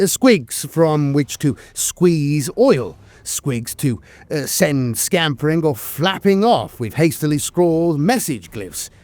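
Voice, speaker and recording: A man's voice: a little high, a little mellow. A man narrating a scripted piece of fantasy lore in a dramatic storytelling manner.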